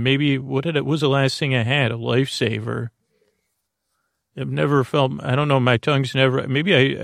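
A man's voice: mid-range voice, creaky, no room sound, studio quality. A middle-aged man hosting a sleep podcast, talking in a meandering, hesitant bedtime monologue full of uhs. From Bay Area, California.

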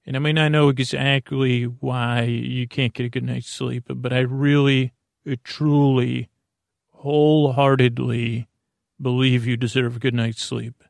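Gravelly creaky guy rambling on his sleep podcast, lots of stops and restarts, dry close mic. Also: medium pitch, level tone, dry recording. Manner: meandering, halting, self-deprecating. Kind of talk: rambling monologue.

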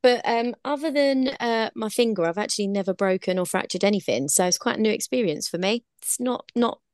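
A young woman co-hosting a podcast, chatting casually, and often pausing with fillers as she talks. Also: medium pitch, darkish tone, no echo, clean studio sound. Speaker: podcast co-host. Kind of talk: casual chat among friends.

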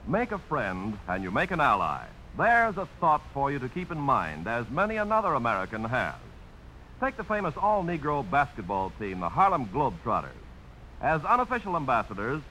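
Faint hiss sits behind a 1950s radio announcer. The man has a bright, forceful voice with lively swings, reading his script earnestly and with polish. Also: mid-range voice, normal speed, low-quality recording. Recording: faintly hissy old radio broadcast.

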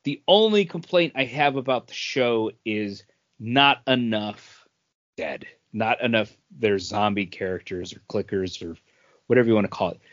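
A man co-hosting a podcast, chatting casually about pop culture as he explains his opinions with halting self-corrections. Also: on the low side, no room sound, highs cut off. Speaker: podcast co-host.

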